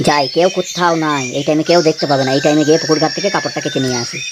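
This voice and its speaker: A man's voice: on the high side, fairly even intonation, racing, noisy background, natural voice. A man voicing a henpecked village husband in a comedy cartoon, complaining and saving face in colloquial speech. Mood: grumbling, worried. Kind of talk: Bengali comedy cartoon dialogue.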